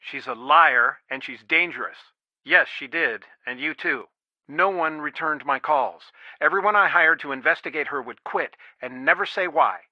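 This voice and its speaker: A man's voice: fairly high pitch, normal speed, no echo, dull audio, lightly acted. A man voicing a stern, worried middle-aged father and lawyer in a video game, earnest, firm and protective. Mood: guarded, grave. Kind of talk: video game voice acting, one side of phone conversations.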